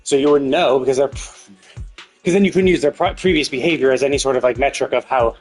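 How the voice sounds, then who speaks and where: A man's voice: mid-range voice, fairly quick, darkish tone. A man livestreaming a game, giving casual, rambling commentary as he thinks aloud and swears freely. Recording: game music playing behind the voice. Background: video game music.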